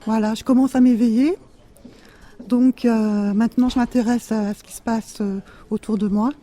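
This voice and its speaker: A woman in her fifties giving a personal account in a group radio discussion, in simple, halting phrases. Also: a little high, fairly even intonation, warm tone. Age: 50s. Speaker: participant, mental health centre patient.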